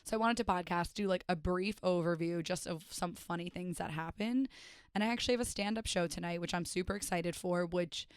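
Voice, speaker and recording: A young woman hosting her own podcast and rambling in a self-mocking, chatty way. Her voice is hoarse and raspy after days of partying. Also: on the low side, brisk, no echo, studio quality. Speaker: podcast host and stand-up comedian. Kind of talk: casual solo podcast chat.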